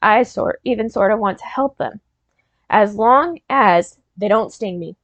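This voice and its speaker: A woman's voice: mid-range voice, measured pace, a bit bright, no room sound, lo-fi sound, pitched below the performer's own voice, natural voice. A woman voicing a skeptical, nervous child from a picture book, protesting dramatically. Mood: reluctant. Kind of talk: storybook character reading.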